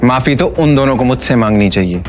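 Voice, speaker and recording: A man's voice: mid-range voice, expressive, quick pace, soft background music, lightly acted. A man playing a defiant, scornful ex-husband in a Hindi audio drama, arrogant and taunting. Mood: cold, defiant. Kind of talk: Hindi audio series acting.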